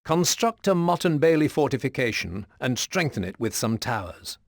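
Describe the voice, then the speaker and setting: A man performing as the formal, measured storybook narrator of a medieval war game. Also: medium pitch, big pitch swings, no echo, studio quality, soft background music.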